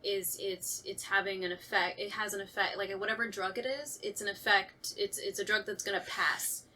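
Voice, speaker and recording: A woman's voice: mid-range voice, steady tone, normal speed, sharp tone, far from the mic, slight background noise. A woman in a casual panel discussion, thinking aloud in an informal, tentative way.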